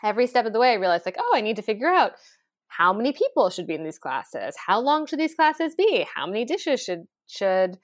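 A woman, founder of a cooking school, talking in a relaxed interview with lots of fillers and lively storytelling. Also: medium pitch, no echo, clean studio sound. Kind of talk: conversational interview.

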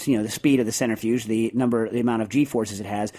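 A man's voice: lowish voice, fairly even intonation, fast, mellow. A middle-aged man hosting a podcast, chatting casually and explaining technical points step by step with many fillers and restarts. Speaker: podcast host.

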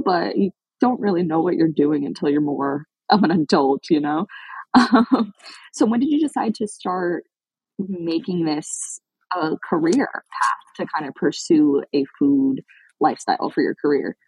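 A woman hosting a podcast interview, bubbly and quick to agree with her guest, often exclaiming. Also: lowish voice, lively intonation, darkish tone, breathy edge, no echo, poor audio. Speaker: podcast host. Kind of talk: casual interview chat.

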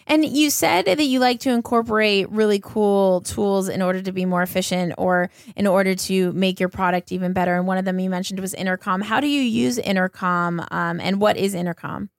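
A woman's voice: medium pitch, steady tone, fairly quick, vocal fry, few pauses, dry recording, studio quality. Female interviewer, mid-range voice, informal and enthusiastic, recorded without room sound. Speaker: podcast host, interviewer. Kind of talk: casual interview chat.

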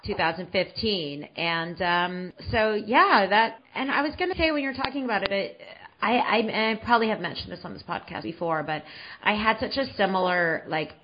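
A woman's voice: mid-range voice, normal speed, highs cut off, noisy background. A woman in her forties from the San Francisco Bay Area, hosting a podcast in a loose, rambling chat full of fillers and restarts. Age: 40s. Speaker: podcast host.

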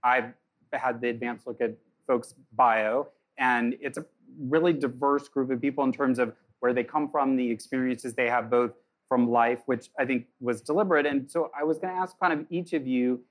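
A man hosting a live panel, asking questions in a relaxed, meandering way. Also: medium pitch, moderate pace, rough recording. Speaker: host, moderator.

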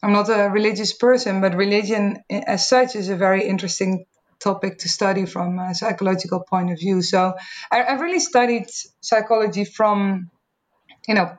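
Dutch lady guest on a podcast, halting and thoughtful, keeps restarting words. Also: medium pitch, steady tone. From Netherlands. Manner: hesitant, repeats words while thinking. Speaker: marketing executive guest. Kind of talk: conversational interview answers.

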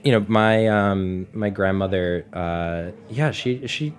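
An American man in his twenties from Florida, a guest chatting casually and haltingly in a radio studio. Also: on the low side. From Florida, USA. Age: 20s. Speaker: chef, interview guest. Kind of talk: casual chat.

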